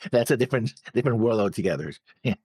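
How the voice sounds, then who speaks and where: A man's voice: mid-range voice, expressive, fast, a little mellow, husky, no room sound. A man chatting casually as a reaction show co-host, agreeing and repeating his words as he goes. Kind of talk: casual chat, discussing an anime episode.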